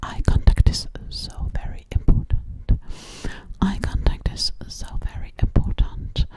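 Woman playing a breathy whispering sweet-sassy alien girl. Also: lightly acted. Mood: comforting. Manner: repeats words and phrases slowly, soothingly. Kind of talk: breathy binaural ASMR whisper. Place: dim living room indoors. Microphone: close-up at a large ASMR microphone.

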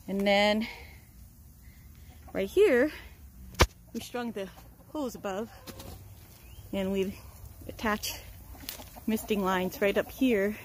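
A woman talks casually into a handheld phone while walking around her backyard. She sounds upbeat and chatty and often pauses with little fillers. A faint outdoor hum sits behind her voice. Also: medium pitch, a little mellow. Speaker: channel host. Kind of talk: casual backyard how-to walkthrough. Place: outdoors in a backyard chicken run.

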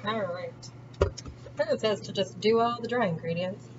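A woman's voice: medium pitch, normal speed. A woman hosting a home cooking vlog, talking informally and thinking aloud as she works in her kitchen. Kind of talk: casual chat while cooking. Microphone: camera on the counter, at a distance. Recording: distant, dull sound with loud background noise.

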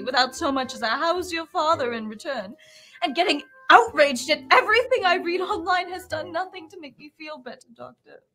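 A woman's voice: sits high, sharp tone. A young woman playing a haughty, lovelorn cosplayer in a comedy web series, speaking with theatrical, indignant flourish. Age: young adult.

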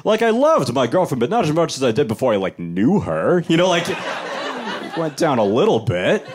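A young man performing a stand-up comedy set on a club stage, wry and animated, with laughter from the audience. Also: normal speed, background noise. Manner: wry, animated, jumps into high-pitched voices for quoted people.

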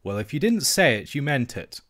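A man's voice: mid-range voice, big pitch swings, normal speed, fairly bright tone, voice pushed up. Man playing a cross, fussy nurse. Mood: offended. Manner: cross, scolding. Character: fussy, easily vexed woman nurse.

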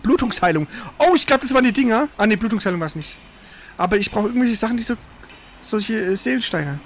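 A man's voice: sits high, expressive, fast. A man streaming a video game, thinking aloud in casual, informal live commentary. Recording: faint background noise.

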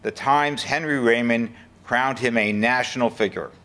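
Mid-pitched male eyewitness narrator, played by an older man. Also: normal speed, pushed and bright, close to an everyday voice. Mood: recounting. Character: male eyewitness recounting a political triumph. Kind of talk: scripted narration in a staged radio play. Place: indoor hall with seated audience. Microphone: lectern microphone.

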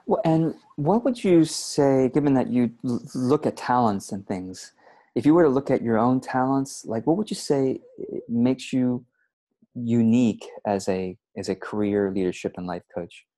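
A man interviewing a client on a video call from a room with bookshelves, asking relaxed, encouraging questions. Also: medium pitch, a little slow. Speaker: interviewer, business coach. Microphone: earphone mic on a video call.

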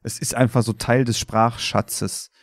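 A man's voice: medium pitch, steady tone, a little slow, no room sound, studio quality. A man in his thirties co-hosting a podcast in casual, rambling, somewhat hesitant chat. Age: 30s. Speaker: podcast host.

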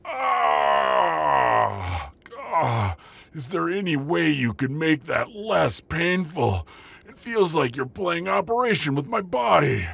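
A man playing a wounded, stubborn dragon-shifter henchman in an audio roleplay, gravelly, strained and cheekily sarcastic. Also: fairly high pitch, big pitch swings, slow, a bit bright, exaggerated voice. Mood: pained.

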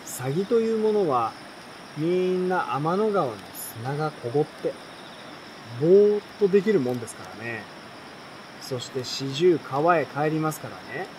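A man's voice: on the high side, lively intonation, unhurried, low-quality recording. Man playing a folksy, chatty bird catcher. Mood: explaining, breezy. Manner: colloquial, explaining, self-assured. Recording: outdoor night crickets and campfire noise.

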